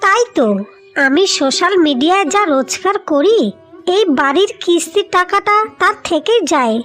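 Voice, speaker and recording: A woman's voice: very high-pitched, expressive, moderate pace, a bit bright, soft background music. A woman playing a pushy, coaxing younger wife in a cartoon story. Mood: defiant. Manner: bossy, coaxing. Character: pushy, phone-obsessed younger wife chasing viral fame. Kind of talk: Bengali cartoon story acting.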